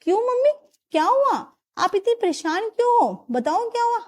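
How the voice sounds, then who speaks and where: A caring young daughter, put on by a woman narrator, anxious and eager to help. She asks questions with rising concern. Her voice is bright and high. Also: very animated, normal speed.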